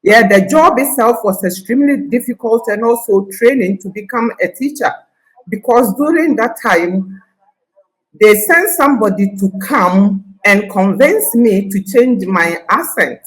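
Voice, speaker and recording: An older woman from Ghana with a Ghanaian Ashanti accent touched by Scottish, telling of her years as a teacher in a confident, deliberate way. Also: medium pitch, lively intonation, low-quality recording. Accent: Ghanaian, Ashanti (Akan) with some Scottish. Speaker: guest, retired teacher. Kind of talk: interview conversation.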